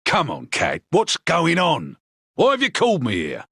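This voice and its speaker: A man playing a gruff, baffled police inspector in a game, with a working-class London accent and slangy, incredulous delivery. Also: fairly high pitch, huge pitch swings, unhurried, fairly bright tone, no room sound, studio quality, exaggerated voice. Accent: British, working-class London. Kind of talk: scripted game voice acting.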